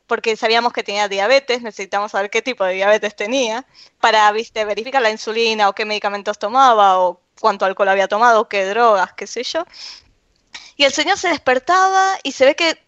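An Argentine woman tells hospital anecdotes in a casual chat, jumping into little voices for the people in them. Her voice sits high, the sound is dry and a bit dull, with the top end rolled off. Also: fast, dry recording. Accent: Argentine Spanish. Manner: animated anecdote telling, acts out voices. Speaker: medical interpreter.

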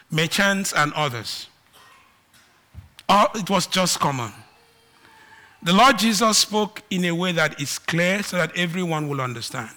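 A man from Nigeria with a Nigerian accent, preaching a sermon and moving between loud emphatic declarations and quiet asides. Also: high voice.

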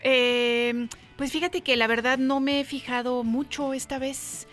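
A woman's voice: sits high, steady tone, unhurried, a bit bright. A woman co-hosting a morning show, chatty and often agreeing with the others. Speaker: co-host. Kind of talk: casual morning show chat. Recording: faint music behind.

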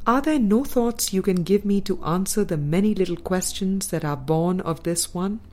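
Woman voicing an earnest, mellow-toned troubled seeker. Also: mid-range voice, moderate pace, natural voice. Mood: searching. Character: earnest, troubled, questioning spiritual seeker, a student.

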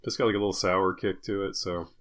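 A man's voice: sits low, steady tone, fast, fairly bright tone. A man co-hosting a podcast in casual, jokey banter. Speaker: podcast co-host.

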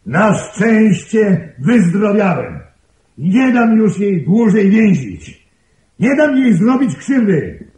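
An actor playing a hot-headed, defiant intruder in a radio play, protective and accusing, with an agitated, urgent delivery. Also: male voice, sits high, sing-song, takes it slowly, darkish tone, slight background noise, exaggerated voice, low-quality recording. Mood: indignant. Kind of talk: Polish radio play acting.

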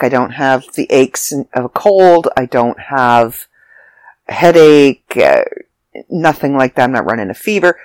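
A congested, slightly raspy speaker telling a rambling, chatty travel story to listeners. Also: medium pitch, no room sound. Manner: chatty, rambling, self-interrupting. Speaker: vlogger. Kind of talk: casual storytelling monologue. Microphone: close mic, audio only.

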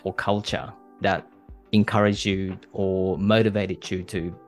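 A man's voice: low voice, flat delivery, a little slow, faint music behind. A man hosting a podcast interview, asking questions in a hesitant way, with frequent ums and I-guesses. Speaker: podcast host.

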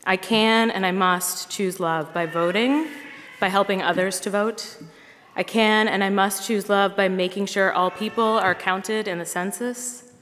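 A woman's voice: medium pitch, fairly even intonation, a little slow, a bit bright, a little hiss or hum. A woman giving an earnest personal testimony to a congregation, speaking in rhythmic repeated refrains. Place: large church hall. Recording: long reverb of a hall.

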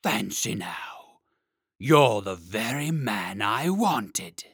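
A man voicing a huge iron-armored dragon that talks slowly, politely and slyly, with a spluttering voice. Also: fairly low pitch, big pitch swings, a bit bright, slightly rough, no echo, studio quality, heavily acted. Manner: slow and drawn out.

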